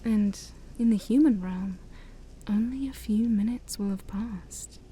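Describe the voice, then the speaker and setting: A woman playing a shy, scheming demon princess of a dark forest in an ASMR roleplay, soft, breathy and mostly whispered, with coaxing, formal speech and playful asides. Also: mid-range voice, measured pace, a little hiss or hum, lightly acted, rough recording. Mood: eager, coaxing. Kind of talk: ASMR roleplay addressed to the listener.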